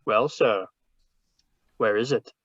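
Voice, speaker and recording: An actor playing a jovial, shrewd, long-winded crime boss in a radio drama, with florid formal speech, over a video call. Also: male voice, on the low side, a bit bright, dry recording. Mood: shrewd, bargaining. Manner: florid, formal, deliberate, genial. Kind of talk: 1940s radio drama read-through. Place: video call read-through. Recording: dull, dry video-call audio.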